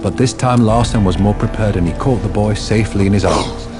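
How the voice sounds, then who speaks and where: A man's voice: fairly low pitch, fairly quick. A man reading scripted narration for a radio drama. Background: loud dramatic music.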